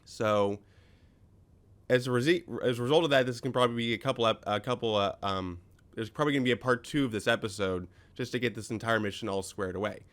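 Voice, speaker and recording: A man's voice: medium pitch, quick pace, fairly bright tone. A man hosting a casual game commentary, his voice slightly scratchy from a recent cold. He rambles through explanations with many ums and self-corrections. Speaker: gaming video host.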